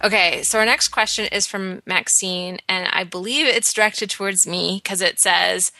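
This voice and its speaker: A woman's voice: medium pitch, moderate pace, pushed and bright, no echo, rough recording. A woman in her thirties from Canada, with a Canadian accent, co-hosting a podcast in casual chat, full of ums and restarts. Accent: Canadian English. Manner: chatty, many ums and restarts. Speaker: podcast co-host.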